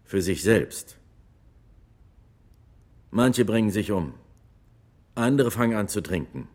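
An actor playing a retired, war-weary army officer who testifies gravely and emphatically in a courtroom radio drama. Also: male voice, fairly low pitch, lively intonation, slow pace, darkish tone. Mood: firm, reflective.

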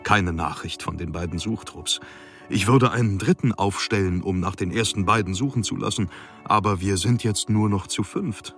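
Grave expedition captain reading his log, low creaky voice, stately wording, radio drama. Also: moderate pace, fairly bright tone, faint music behind. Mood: grim. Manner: grave, stately, old-fashioned diction. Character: formal, solemn polar expedition captain writing his logbook. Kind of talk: dramatic radio play monologue.

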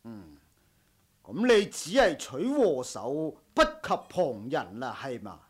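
A man's voice: mid-range voice, wildly swooping pitch, slow, husky, full character voice. A man acting a proud, honourable martial-arts household master in a Cantonese radio drama, speaking in formal, stern, old-fashioned heroic language. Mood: defiant, composed.

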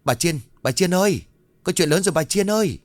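A man's voice: sits high, expressive, fairly quick, dry recording, soft background music, pitched above the performer's own voice. A man doing a frantic, breathless young village lad. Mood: frantic shouting. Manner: shouting urgently, gasping between words.

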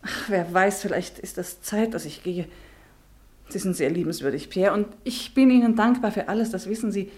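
An actress playing a young wife in a radio play, talking in an emotional, pleading way. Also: female voice, mid-range voice, moderate pace, darkish tone, lightly acted. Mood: earnest. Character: Ruth, young wife torn about returning to her husband.

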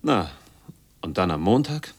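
An actor playing an embittered, noise-obsessed man in his forties, sardonic and intense, telling his story in a husky voice in a radio drama monologue. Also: deep voice, sing-song, a little slow. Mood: resigned, rueful. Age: 40s. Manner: sardonic, intense, with clipped fragments.

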